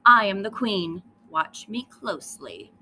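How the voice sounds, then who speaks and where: A woman's voice: medium pitch, very animated, a little slow, pushed and bright, a little hiss or hum. Woman playing a bossy, dramatic little girl mouse. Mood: commanding. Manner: theatrical, indignant, imperious delivery. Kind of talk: children's storybook read-aloud.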